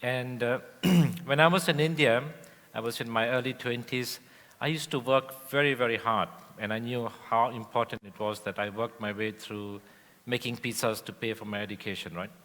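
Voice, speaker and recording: A man from Indonesia, a guest speaker telling his life story on stage in a relaxed way with frequent fillers. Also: mid-range voice, normal speed. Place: large event hall on stage. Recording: long hall reverb.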